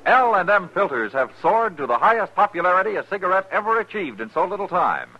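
A man's voice: high-pitched, big pitch swings, fast. An American man reading a 1950s radio advertisement as a commercial announcer. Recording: muffled vintage radio broadcast.

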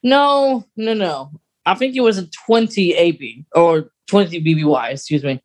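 A man's voice: high voice, normal speed. A man co-hosting a podcast, thinking aloud in a casual, tentative fan discussion. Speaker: podcast co-host.

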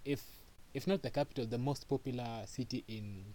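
A man's voice: medium pitch, a little fast, mellow, a little hiss or hum. A man from Kenya, a podcast guest answering questions casually and hesitantly, with many fillers and restarts.

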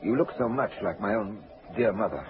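A man's voice: on the low side, expressive, a little fast, noisy background, natural voice. An actor playing a silver-tongued, courtly con man in florid 1940s radio drama style. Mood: smooth, courtly. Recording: tinny, band-limited 1940s radio recording.

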